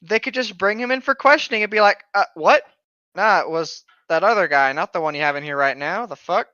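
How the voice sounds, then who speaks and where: A man's voice: high-pitched, expressive, fairly quick, bright, forceful tone, no echo. A man co-hosting a mock story reading, tossing in casual jokes with an incredulous, profane manner. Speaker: co-host. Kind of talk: casual joking commentary. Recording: slightly dull, lo-fi microphone.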